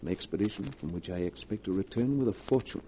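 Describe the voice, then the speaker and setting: An actor playing a scheming male lover in a 1940s radio drama, measured and insinuating as he plots. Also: sits low, lively intonation, mellow. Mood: calmly scheming. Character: smooth, scheming male lover coolly planning murder. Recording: dull, hissy 1940s radio recording.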